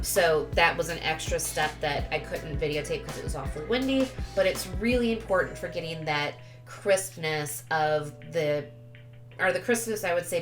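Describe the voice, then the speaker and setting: A woman giving a casual, chatty craft tutorial voice-over, sounding sniffly with a cold and often correcting herself. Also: low-pitched. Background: background music.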